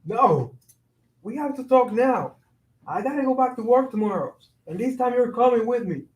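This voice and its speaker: Man acting an insistent friend, high-pitched, echoey room. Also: animated, normal speed, darkish tone. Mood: worried, insistent. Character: earnest, worried, insistent male friend. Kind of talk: staged play reading.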